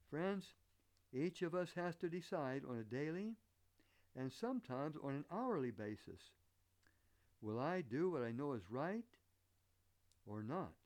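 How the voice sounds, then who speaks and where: A male preacher speaks in a slightly high voice with plenty of rise and fall. His American accent has picked up a Southern color. He delivers his sermon earnestly and deliberately, as someone teaching step by step. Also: darkish tone. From northern United States. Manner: earnest, deliberate, rhetorical questions. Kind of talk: sermon with illustrative anecdotes.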